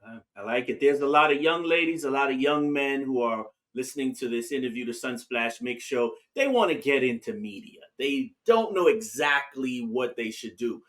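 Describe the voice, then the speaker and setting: A man hosting a music show interview, asking questions and giving encouraging shout-outs. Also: medium pitch, expressive, moderate pace, few pauses. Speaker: interview host.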